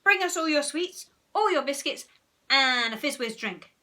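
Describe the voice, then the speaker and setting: A woman's voice: on the high side, fairly even intonation, fast. A woman voicing a naughty, bossy young boy in a read-aloud storybook, barking out orders. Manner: bossy, loud commanding.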